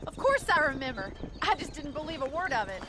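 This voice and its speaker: A woman's voice: high voice, big pitch swings, quick pace, background noise. An actress playing a spirited, teasing young woman rancher in a Western game, playful and a little theatrical.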